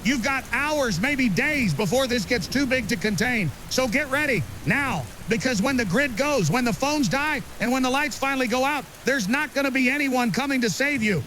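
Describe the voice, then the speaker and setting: A man's voice: very high voice, lively intonation, moderate pace, sharp tone, a little hiss or hum, full character voice, low-quality recording. A man playing an ominous survivalist narrator delivering a dramatic scripted warning in an audio drama. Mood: ominous, urgent.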